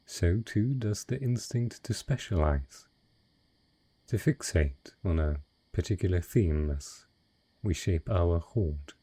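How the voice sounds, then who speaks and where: Male actor for cunning, wealthy dragon in disguise, romantic fantasy audio drama. Dark, mellow, husky voice, refined and unhurried. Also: sits low, heavily acted. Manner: refined, eloquent, unhurried storytelling. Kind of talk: romantic fantasy audio roleplay, intimate monologue.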